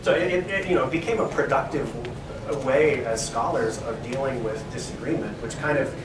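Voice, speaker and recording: A man's voice: mid-range voice, moderate pace. A male professor discussing literature at a library talk, thoughtful and hedging with frequent "right?". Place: room in a university library. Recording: echoey room, distant mic, background noise.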